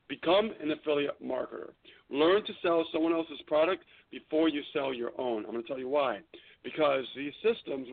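A man with a gravelly, slightly high voice talks eagerly about marketing, as if coaching a crowd, throwing in rhetorical questions. He comes through a thin, tinny phone line. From New York City, raised in New England. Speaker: guest, internet marketing trainer. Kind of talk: casual radio interview.

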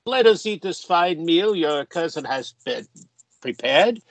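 A man acting a jovial, lecherous Victorian member of Parliament in a radio play, pompous and theatrical with flirtatious, drawn-out phrasing. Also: on the high side, lively intonation, no room sound. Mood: brisk, commanding.

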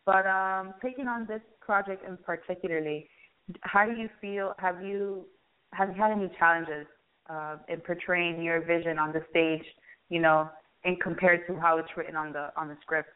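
A woman's voice: on the low side, steady tone, normal speed, clear, resonant tone. A woman interviewing guests in a casual, chatty, informal way, often dropping in "you know". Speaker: interviewer, show host. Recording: phone-like, band-limited radio line.

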